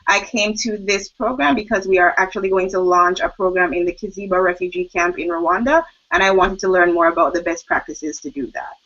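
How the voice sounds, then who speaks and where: A woman's voice: on the low side, steady tone, fairly quick, few pauses, a bit bright. A woman from Jamaica introducing herself confidently, with a few fillers. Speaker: student. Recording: slightly muffled recording.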